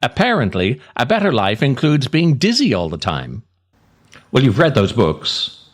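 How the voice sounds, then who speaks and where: A man's voice: medium pitch, huge pitch swings, a little slow. A man delivering a theatrical comic scripted monologue as a podcast host, wry and dramatic. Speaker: podcast host and writer, actor.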